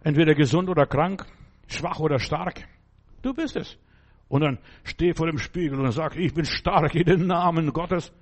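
A man's voice: a little high, moderate pace. A man preaching a fervent, emphatic sermon in German, which is not his first language, repeating phrases for emphasis.

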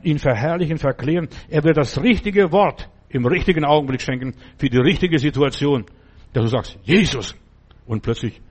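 Fervent man preaching. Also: a little high. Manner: emphatic, fervent, exclaims names loudly. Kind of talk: sermon and prayer.

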